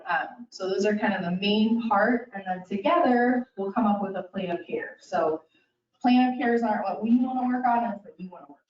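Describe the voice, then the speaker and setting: A woman's voice: medium pitch, normal speed. Woman clinician presenting informally to a group, distant and dull recording. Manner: casual and chatty, addresses listeners directly, many "kind of" and "um". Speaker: speech-language pathologist. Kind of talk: educational presentation. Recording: roomy, distant, dull sound.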